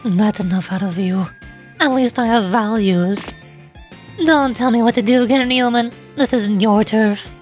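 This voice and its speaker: Combative anime gang girl, blunt and scornful, voice dropped lower by a woman performer. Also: a little slow, darkish tone, soft background music, lightly acted. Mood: scornful, hostile. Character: tough, scornful gang girl guarding tradition and turf.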